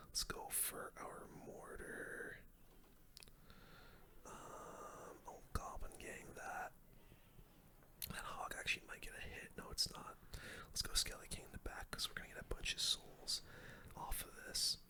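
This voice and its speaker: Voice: very high voice, pushed and bright. A young adult whispers casual, slangy commentary over their own gameplay in an ASMR style. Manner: casual slangy gamer talk, full whisper.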